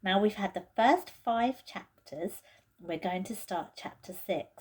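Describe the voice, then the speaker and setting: Female storybook reader, mid-pitched, deliberate and expressive, taking her time. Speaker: teacher reading to pupils. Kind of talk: children's storybook read aloud.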